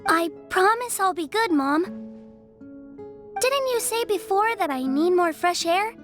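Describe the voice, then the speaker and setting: High, slow-spoken frail little girl. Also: lively intonation, soft background music, exaggerated voice. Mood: meek, sweet. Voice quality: soft, childlike. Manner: gentle, polite, unhurried. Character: frail, polite, sweet young girl recovering from illness. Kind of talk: video game voice acting.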